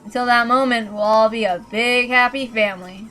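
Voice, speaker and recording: A villainous uncle from a visual novel, played by a woman. He talks casually and calmly, joking with mock kindness while being utterly ruthless. Faint music plays behind him. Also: a little high, moderate pace. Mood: cold, casual. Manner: casual, calm, mockingly kind. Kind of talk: dramatic visual novel character reading.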